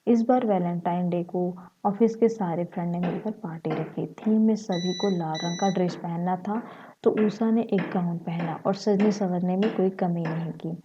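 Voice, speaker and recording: A woman with a slightly husky voice, narrating a short story. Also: lowish voice, normal speed, muffled recording, background noise.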